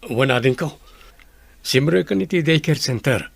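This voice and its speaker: A man's voice: on the high side, expressive, a little slow, creaky, husky, no room sound, exaggerated voice. A man acting a resigned, plain-spoken village father in an Ilocano radio drama. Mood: friendly.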